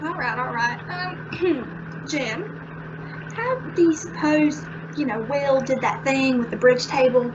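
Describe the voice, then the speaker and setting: Woman acting worried scolding mom in old radio comedy, high voice, kinda hesitant, muffled and noisy. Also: lively intonation, slow pace. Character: earnest, gently scolding suburban wife and mother. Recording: muffled recording with clear noise.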